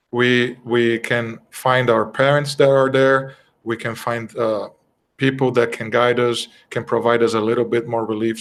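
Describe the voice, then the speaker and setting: A middle-aged man with a Brazilian Portuguese accent talks through spiritist teachings like an informal class. He speaks earnestly and conversationally at a moderate pitch, pausing now and then to find the right English word. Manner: earnest, conversational, often pausing to search for words. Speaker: spiritist study group speaker. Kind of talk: informal doctrinal class talk.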